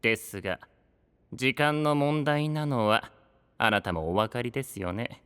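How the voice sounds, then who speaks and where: An actor playing a sly, coolly polite young knight in an anime voice drama, speaking formally with a teasing, probing edge. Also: male voice, medium pitch, measured pace, a bit bright, clean tone, close to an everyday voice. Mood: cool, pressing. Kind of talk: Japanese anime voice drama acting. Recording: long reverb, music behind.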